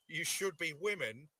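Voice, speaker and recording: An actor playing a wary, questioning nobleman in a Shakespearean radio play, with measured declamatory delivery. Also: a little high, pushed and bright, no room sound, heavily acted.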